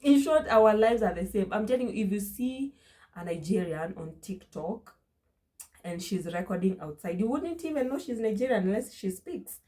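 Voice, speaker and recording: A woman's voice: medium pitch, moderate pace, dark tone. A young woman with a Kenyan accent, talking to her vlog audience in an emphatic way and repeating phrases for stress. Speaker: vlogger. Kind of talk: casual opinion vlog.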